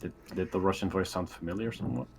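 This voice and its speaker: A man with a low voice talks casually as a player in a tabletop game. His delivery is hesitant and halting. There is background noise on his recording. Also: fairly even intonation. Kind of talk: casual chat.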